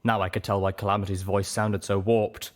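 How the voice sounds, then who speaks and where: A man's voice: lowish voice, flat delivery, brisk. A man reading scripted first-person fiction as a storyteller. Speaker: story reader.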